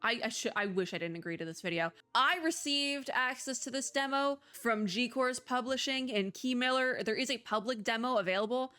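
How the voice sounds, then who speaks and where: A woman's voice: a little high, moderate pace, few pauses, pushed and bright, clean tone, soft background music. A woman talking casually and off the cuff as a video game host, in a chatty, rambling, informal way. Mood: annoyed. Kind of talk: casual unscripted game commentary.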